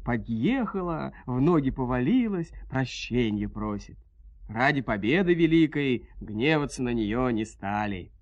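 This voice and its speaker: A man telling a scripted fairy tale in a theatrical, expressive folk-tale manner, with a husky voice. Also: mid-range voice, a little slow, dry recording, lo-fi sound. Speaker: storyteller, narrator. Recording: dry studio audio play.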